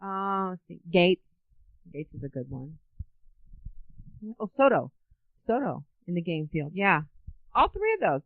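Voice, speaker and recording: Animated middle-aged woman on a tinny line. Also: fairly low pitch, unhurried, long pauses. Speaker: interview guest. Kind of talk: casual, playful interview answers. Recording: tinny, narrow phone-like line.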